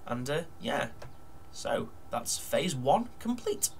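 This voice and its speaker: A man's voice: mid-range voice, very animated, slow pace, gravelly, a little hiss or hum. A man with a nasal, blocked-up voice from a cold, chatting casually at his desk while narrating a model build, full of ums and uhs. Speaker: hobby model builder vlogger.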